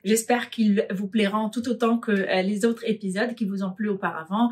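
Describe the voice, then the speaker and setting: A woman's voice: mid-range voice, fairly even intonation, fairly quick, a little mellow. A woman podcast host speaking informally and directly to her viewers.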